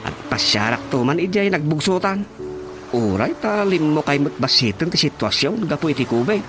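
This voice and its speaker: An actor with an Ilocano accent playing a chatty, out-of-work brother-in-law in a comic radio drama. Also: male voice, a little high, very animated, normal speed. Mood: chummy. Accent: Filipino, Ilocano. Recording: radio drama with music underneath.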